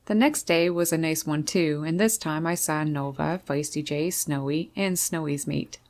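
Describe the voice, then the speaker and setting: A woman narrates a nature video in a low-pitched voice. She delivers scripted birdwatching commentary. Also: normal speed, darkish tone.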